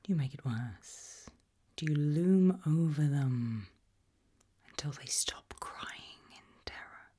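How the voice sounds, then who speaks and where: A British woman whispering an ASMR roleplay in a breathy voice, putting slow, deliberate questions with long pauses. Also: low voice, animated, mellow.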